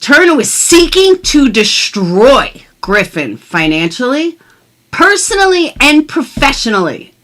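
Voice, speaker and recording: Casting: middle-aged female commentary vlogger, bright forceful voice, animated pitch swings, blunt and emphatic, casual delivery. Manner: emphatic, blunt, swears freely. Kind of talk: casual chat and mock-dramatic reading of legal documents.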